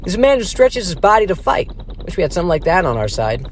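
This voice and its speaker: An actor playing an eager, boyish young soldier sidekick in an audio drama. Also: male voice, sits high, big pitch swings, quick pace, slight background noise. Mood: boyish interest.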